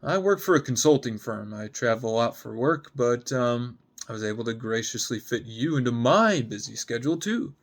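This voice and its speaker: A man acts out a corporate guy in his late twenties in a drama reading. He is smooth and polished on the surface, with a crude, defensive streak. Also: mid-range voice, animated, normal speed. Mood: polite, smooth. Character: suit-and-tie consultant in his late twenties, charming then crude.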